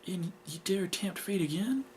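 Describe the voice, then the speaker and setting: A man's voice: sits high, expressive, moderate pace, slight background noise, voice pushed up. A man voicing a cold, controlling mother who speaks with stern, contemptuous reproach in a story reading. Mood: scornful, scolding.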